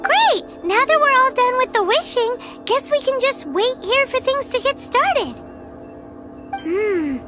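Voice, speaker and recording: An extremely high, squeaky little fairy girl for a video game. She is chirpy, blunt and excitable, with lively swings in pitch. Loud music plays behind her. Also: slow, heavily acted. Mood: cheerful, impatient. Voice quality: squeaky, childlike. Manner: chirpy, blunt, refers to herself in third person. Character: tiny excitable childlike floating fairy companion, female. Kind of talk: video game character acting.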